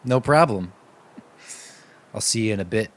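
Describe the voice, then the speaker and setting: Man playing small-town tow driver, slow delivery, low pitch, halting, creepy, amateur radio drama. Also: lively intonation, noisy background. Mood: smug. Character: slow-talking rival tow driver Frank, turning creepily entranced. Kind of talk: amateur radio mystery acting.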